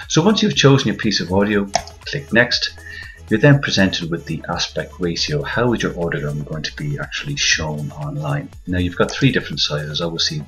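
A man talking through an informal step-by-step software tutorial in a conversational, explanatory way, with hesitant pauses. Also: rumbling low, poor audio. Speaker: tutorial presenter, podcast co-host. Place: voice-over on a screen recording.

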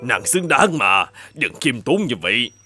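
A man voicing a gallant, flattering general in an animated fairy tale, courteous and formal. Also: mid-range voice, a little slow. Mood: gallant, admiring. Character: gallant, flattering adult male general charmed by a beauty. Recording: music playing behind the voice.

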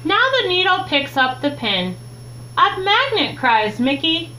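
A young woman with a high, very melodic voice reads a picture book aloud for children. She takes her time, phrasing each line deliberately and expressively. The home recording has clear background noise. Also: sing-song, sharp tone, low-quality recording. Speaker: teacher reading a children's book. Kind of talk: read-aloud storytime narration. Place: small room at home, indoors.

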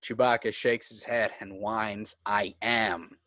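A man's voice: on the low side, level tone, slow, bright, forceful tone, no echo, clean studio sound. A man reading screenplay stage directions as the narrator of a script table read.

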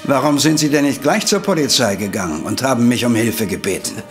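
A man's voice: medium pitch, expressive, fairly quick, natural voice. Casting: male actor as touchy, blustering police inspector in radio play, swinging intonation, music underneath. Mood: irritated. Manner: gruff, blustering. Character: irritable, lazy male police inspector, easily offended. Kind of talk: German audio drama acting.

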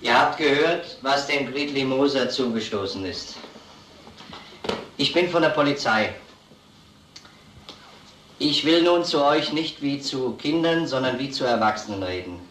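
In a roomy, noisy old recording, an actor plays an earnest male police lieutenant. His voice sits at a medium pitch, and he questions people in a deliberate, grave, plain-spoken way. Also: a bit bright, lightly acted. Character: earnest, methodical police lieutenant, grown man. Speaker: police investigator. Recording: echoey, noisy old film soundtrack.

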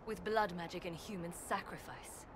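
Tough fantasy game heroine, female actor: low register, grim, blunt, cynical, over noisy ambience. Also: normal speed, close to an everyday voice. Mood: grim, bitter. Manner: blunt, somber. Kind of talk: serious fantasy game acting.

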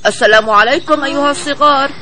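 A man voicing a friendly, puzzled young bird in an expressive, clearly spoken children's cartoon dub. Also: very high voice, fast. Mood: cheerful, curious. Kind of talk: Arabic children's cartoon dubbing.

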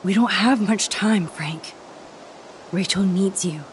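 An actress playing an earnest, conciliatory young woman in a video game, speaking in a gentle, pleading, diplomatic manner. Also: medium pitch, slow, a little hiss or hum, close to an everyday voice. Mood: earnest, urgent. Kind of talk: dramatic video game voice acting.

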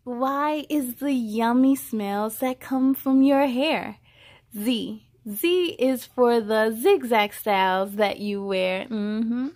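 A woman's voice: a little high, takes it slowly, darkish tone. A woman reading a children's picture book aloud, with encouraging, affirming casual comments addressed straight to young listeners.

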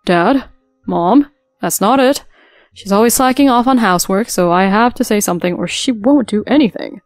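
A selfish young husband snaps out cold, demanding lines, as a woman reads him aloud. He sounds a touch louder and harder than the narrating voice, in a dry close recording with faint music underneath. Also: mid-range voice, normal speed, dry recording, natural voice. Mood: defensive. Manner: dismissive and demanding.

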